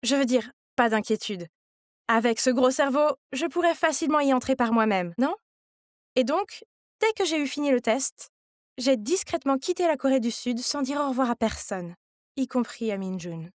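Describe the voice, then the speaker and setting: A woman's voice: sits high, expressive, moderate pace, dry recording, studio quality. A woman reading a scripted first-person story in a confiding manner, as its young heroine. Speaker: narrator.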